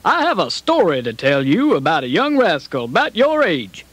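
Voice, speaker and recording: A man's voice: high-pitched, wildly swooping pitch, normal speed, breathy edge, exaggerated voice. A man voicing a hearty, folksy cowboy puppet, telling children a cautionary story. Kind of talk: children's storytelling over country music. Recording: roomy sound with loud country music.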